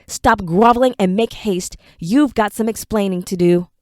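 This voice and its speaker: A woman's voice: mid-range voice, moderate pace, dry recording, clean studio sound, lightly acted. A woman voicing a harsh male warlord who barks insults and threats with contempt. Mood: furious. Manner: barking, contemptuous.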